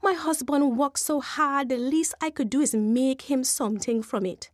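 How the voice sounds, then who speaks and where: A woman's voice: high voice, normal speed, dry recording, clean studio sound, natural voice. A woman voicing a farmer's wife, fond and exclaiming. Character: fussy Caribbean farmer's wife.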